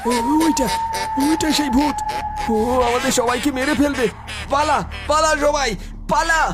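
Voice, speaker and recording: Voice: sits high, fairly even intonation, measured pace, music in the background. A performer voicing a panicky, fearful young villager in a horror cartoon, urgent and stammering, repeating words. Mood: panicked.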